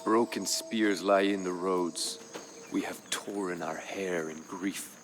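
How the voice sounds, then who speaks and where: A man playing a proud, somber Aztec emperor, telling of his people's war in grave, measured, formal game narration. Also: low voice, fairly even intonation. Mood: mournful, weary. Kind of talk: solemn scripted narration in character. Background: music.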